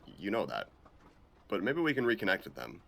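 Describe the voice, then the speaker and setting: A man playing a cocky, dim-witted action agent in a comedic game fan dub, with deadpan, hesitant, sweary banter. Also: lowish voice, fairly quick, vocal fry, full character voice. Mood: flippant.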